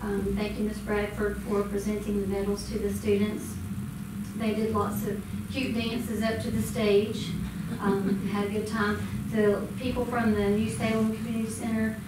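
Woman reporting at a board meeting, flat. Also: mid-range voice, normal speed. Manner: conversational, with many ums. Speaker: school department presenter. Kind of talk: informal report at a public meeting. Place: school board meeting room. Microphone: distant room mic, standing speaker. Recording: echoey room from far off, noisy.

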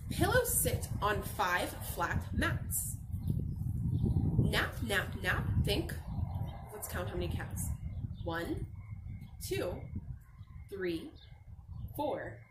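Young lady teacher reading a storybook to kids, super swoopy voice, encouraging, noisy outdoor recording w birdsong. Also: mid-range voice. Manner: expressive, encouraging, sing-song enunciation for young listeners. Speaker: teacher reading aloud to children. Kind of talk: children's picture book read-aloud with questions. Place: outdoors on a garden patio.

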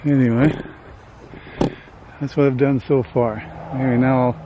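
A man's voice: medium pitch, flat delivery, normal speed, dull audio. A man in his sixties talking casually and plainly to camera outdoors, with a self-deprecating manner, as an amateur filming himself. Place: outdoors in a suburban front yard. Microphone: camera mic, at varying distance. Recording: clear outdoor ambient noise.

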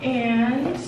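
Chatty young woman, echoey bathroom. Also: high voice. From Washington, D.C. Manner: chatty, rambling, many fillers and "like". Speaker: beauty vlogger. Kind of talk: casual get-ready-with-me chat. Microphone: camera a few feet away. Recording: roomy bathroom echo, mic at a distance, noisy.

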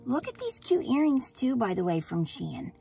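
A woman vlogger talking casually to camera, hoarse and a little scratchy after surgery, chatty and rambling as she gushes. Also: on the high side, big pitch swings, normal speed, mellow, soft background music. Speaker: beauty vlogger.

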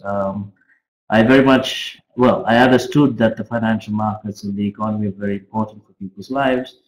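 A middle-aged man gives an informal academic presentation as a finance professor, speaking haltingly with frequent pauses. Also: medium pitch. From Australia. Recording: roomy, echoey webcam audio.

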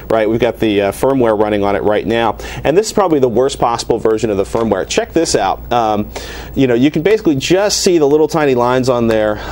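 A man's voice: medium pitch, a little mellow, slight background noise. An American man around forty hosting a tech show, casually demonstrating a product in a rambling, conversational way. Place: small TV studio at a desk. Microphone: lapel mic.

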